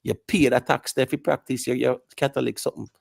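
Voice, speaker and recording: Jamaican male panelist, gravelly, explains at length, keeps checking the listener follows. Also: medium pitch, fairly even intonation, quick pace, dry recording, clean studio sound. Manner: lecturing, repeats "you get me" asides. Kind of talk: live panel discussion.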